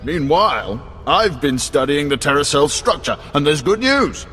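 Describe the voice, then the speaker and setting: A dry, precise spymaster. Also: male voice, on the high side, sing-song, moderate pace, pushed and bright, soft background music. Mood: measured. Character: calculating male spymaster briefing an agent. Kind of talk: video game cutscene acting.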